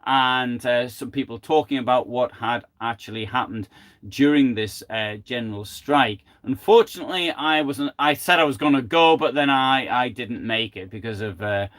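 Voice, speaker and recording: Halting man giving a history talk. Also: medium pitch, a bit bright. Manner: hesitant, many fillers and restarts. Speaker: history presenter. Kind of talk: unscripted live history talk.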